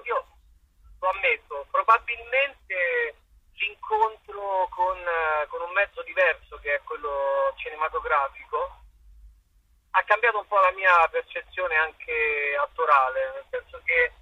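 A man's voice: high voice, slightly rough, no echo, narrow, tinny audio. A man answering interview questions conversationally as an actor and playwright, hesitant and searching for words, often repeating himself.

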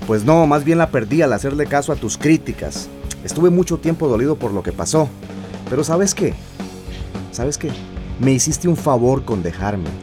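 Animated, passionate Costa Rican teacher man acting. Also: mid-range voice, normal speed, over music, natural voice. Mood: defiant, wounded. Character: passionate civics teacher and musician, newly defiant. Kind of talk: radio drama acting.

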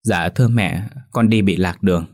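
A man reading a gentle young adopted daughter. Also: low voice, moderate pace. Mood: pleading.

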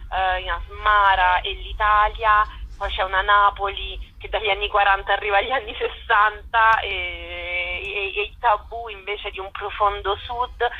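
A woman's voice: medium pitch, dull audio. A woman, a theatre maker, answering interview questions over the telephone in a hesitant way, searching for words and repeating phrases. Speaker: guest, theatre author and actress.

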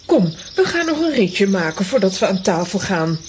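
A woman acts a brisk, practical aunt in a children's audio play. Her mid-pitched voice moves in lively swings, with noticeable ambient noise behind it. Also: natural voice.

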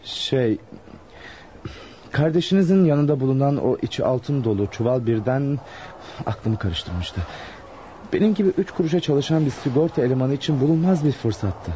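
A man's voice: mid-range voice, expressive, moderate pace, dark tone, lightly acted. A man acting a nervous, scheming insurance clerk in a radio drama, hesitant and muttering. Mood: confessing. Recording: muffled, noisy radio drama recording.

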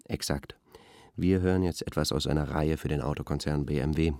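An actor playing a bemused, understated arts expert talking deliberately as a studio guest in a radio play. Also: male voice, rumbling low, steady tone, dark tone, no echo. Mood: matter-of-fact.

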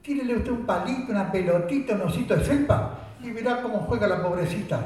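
A boastful country sergeant, rambling tall tales in a deadpan, mock-solemn voice, as a man acts him out in a comic radio play. His voice sits a little high and sounds far from the mic in a very echoey room. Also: fast talker, a little hiss or hum, close to an everyday voice. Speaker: radio drama actor.

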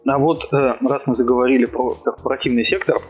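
A man's voice: mid-range voice, steady tone, a little fast, breathy edge, dull audio, soft background music. A man hosting a podcast, asking casual conversational questions built up in short fragments. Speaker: podcast host.

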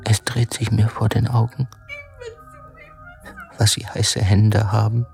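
Woman acting a slow, low, haunted soldier. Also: flat delivery, gravelly, darkish tone, heavily acted. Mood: feverish unease. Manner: halting, whispery, obsessively repeating fragments. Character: jealous, tormented soldier, feverish and haunted. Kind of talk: dramatic radio play monologue. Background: music.

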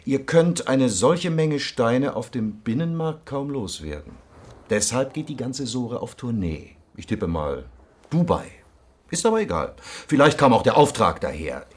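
An actor playing a hard-nosed police investigator in a radio crime drama, sardonic, commanding and cutting. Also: male voice, mid-range voice, very animated, measured pace, darkish tone.

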